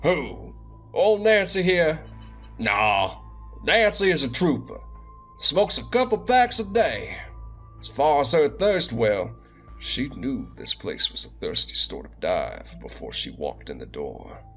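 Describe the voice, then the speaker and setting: A man voicing a grinning, menacing bartender with a put-on Creole accent, loud and falsely cheery. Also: mid-range voice, very animated, slow pace, soft background music, full character voice. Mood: smug. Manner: loud, threatening, overly cheery. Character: grinning, menacing male bartender in a hellish dive.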